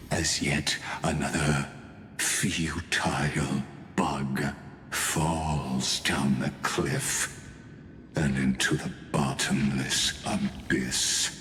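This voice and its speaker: A man's voice: rumbling low, full character voice. A man playing a cold, arrogant villain of a genius in a video game, speaking with pompous, menacing formality. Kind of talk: dramatic video game voice acting. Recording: loud music under the voice.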